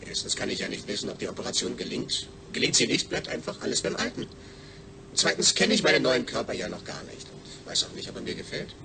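A man's voice: mid-range voice, very animated, fast talker, background noise. A man playing an eager, needy astronaut's brain speaking emphatically through a speech synthesizer in a radio drama. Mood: insistent. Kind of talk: German radio drama acting.

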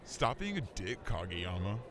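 A man's voice: deep voice, sing-song, normal speed, fairly bright tone. A man voicing a smug, taunting older figure in a comedic anime parody dub. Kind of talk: comedic fan-dub voice acting.

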